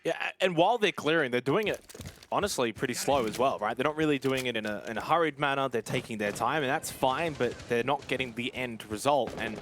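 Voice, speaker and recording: A man's voice: medium pitch, brisk, fairly bright tone, a little hiss or hum. A man calling live esports play-by-play, recapping rounds and scores in a conversational way. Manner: conversational, calls action and recaps. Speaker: play-by-play caster.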